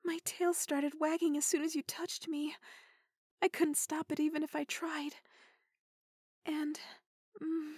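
A woman acts out an adult female dog-human hybrid for an audio roleplay, in a very high voice. She is submissive and excitable, speaking slowly in a breathy, pleading way. The recording is close and dry. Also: no echo. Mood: shy, needy. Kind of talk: intimate scripted audio roleplay.

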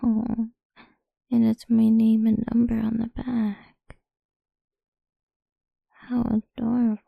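A woman whispering a slow, doting and teasing ASMR roleplay in a breathy voice. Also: fairly high pitch, monotone, no room sound, phone-quality sound.

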